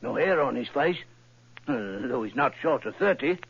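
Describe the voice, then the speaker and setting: An earnest, husky, homespun client. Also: male voice, mid-range voice, lively intonation, moderate pace, a bit bright, exaggerated voice. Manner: homely, earnest, rustic grammar. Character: plain-spoken middle-aged male pawnbroker client, earnest. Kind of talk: 1940s radio acting. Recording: muffled dry old radio recording.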